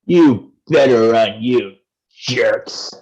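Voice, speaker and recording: A man playing a mean, jeering boy bully in a readers theatre comedy, taunting and shouting, off the microphone. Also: mid-range voice, very slow, dull audio. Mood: gloating. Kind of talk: readers theatre performance of a comic story.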